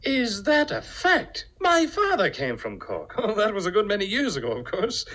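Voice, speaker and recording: An actor playing a timid, flustered office partner who stammers and chuckles nervously in a 1950s radio comedy-drama. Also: male voice, high voice, huge pitch swings, normal speed, sharp tone, slight background noise, exaggerated voice. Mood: flustered, bashful. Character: flustered, timid male office partner, Irish family roots. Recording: old 1950 radio broadcast.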